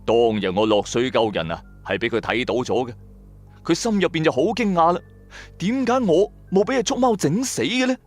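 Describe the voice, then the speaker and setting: A man with a Cantonese accent narrating a supernatural tale in the first person, dramatic, colloquial and expressive. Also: a little high, brisk, faint music behind. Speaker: storyteller narrator.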